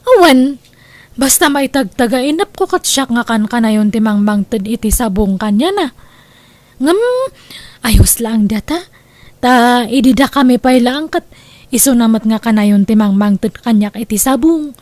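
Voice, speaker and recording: A woman's voice: on the high side, animated, unhurried, darkish tone, dry recording. A woman with an Ilocano accent playing a reluctant, stubborn young girlfriend in a comedy drama. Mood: wistful. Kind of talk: comedy radio-style drama acting.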